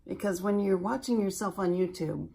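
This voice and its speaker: A woman from Alaska chatting slowly and self-deprecatingly while doing her makeup for a beauty vlog. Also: mid-range voice, vocal fry, mellow, slightly rough. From Alaska, USA. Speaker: beauty vlogger.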